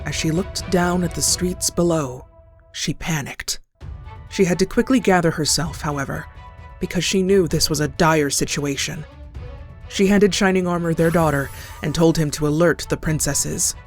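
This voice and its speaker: A woman's voice: low voice, normal speed. A woman narrating a scripted horror story as a storyteller. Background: clear background music.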